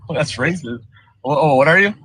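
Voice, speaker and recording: Husky man pressing questions in a debate. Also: a little high, lively intonation, unhurried, pushed and bright, no room sound, low-quality recording. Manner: interrupting, probing questions. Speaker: debate co-panelist. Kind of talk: livestream debate.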